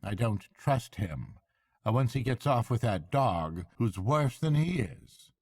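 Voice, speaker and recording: A man's voice: medium pitch, expressive, slow, a little mellow, husky, no room sound, clean studio sound, pitched above the performer's own voice. A man voicing a hearty, shrewd barge woman in a storybook reading, chatty and falsely respectful. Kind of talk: storybook character voice.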